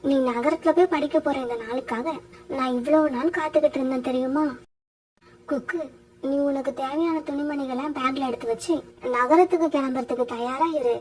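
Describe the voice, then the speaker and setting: A woman's voice: sits high, moderate pace, a little mellow, natural voice, poor audio. A woman voicing a devoted, hardworking mother sparrow in a cartoon fairytale. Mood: tender, hopeful. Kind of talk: animated cartoon fairytale dialogue.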